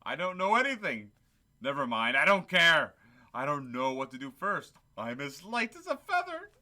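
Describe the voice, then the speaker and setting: A man's voice: on the high side, huge pitch swings, moderate pace, bright, forceful tone, exaggerated voice. A man playing a fervent, theatrical old miser in a radio play. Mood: giddy joy. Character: old miser Scrooge, pleading then giddily redeemed. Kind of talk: stage radio play acting.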